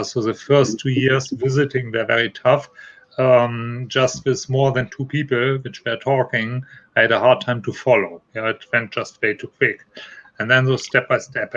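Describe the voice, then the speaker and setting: A man's voice: mid-range voice, a bit bright, highs cut off. A man from Germany with a heavy German accent, explaining things step by step in a casual chat. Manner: explains step by step, halting non-native phrasing. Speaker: guest. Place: Iowa.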